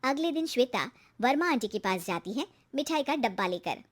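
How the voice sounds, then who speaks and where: A woman's voice: a little high, expressive, normal speed. A woman reading scripted narration for a cartoon moral story.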